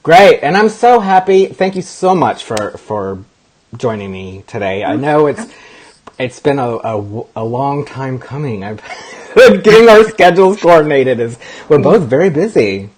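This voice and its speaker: A man hosts a casual interview, his husky voice swinging up and down with upbeat energy. He sounds friendly and animated as he asks light questions. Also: mid-range voice, measured pace. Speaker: host.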